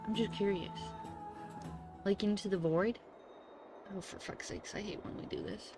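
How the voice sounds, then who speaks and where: A woman's voice: mid-range voice, normal speed. A woman giving casual, chatty livestream commentary while playing a story game, informal and often interrupting herself. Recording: game music behind the voice. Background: game music and character voices.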